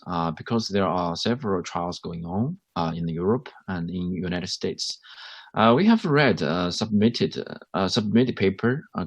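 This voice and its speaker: A man's voice: deep voice, husky, no echo. A man with a Chinese accent, a hospital doctor, gives his views in a panel discussion with many fillers and restarts. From China.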